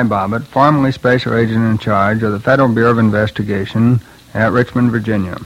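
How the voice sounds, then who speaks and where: A man's voice: medium pitch, low-quality recording. A man, a former law officer, giving a scripted account in a stiff, official manner.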